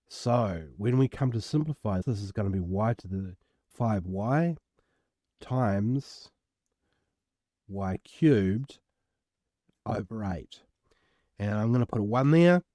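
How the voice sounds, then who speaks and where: A man with a croaky voice, casually working through an algebra exam step by step as a tutor, recorded at home. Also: fairly low pitch, dark tone, no echo, clean studio sound. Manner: casual, self-deprecating, explains step by step. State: croaky voice from illness. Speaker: maths tutor. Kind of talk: worked exam walkthrough.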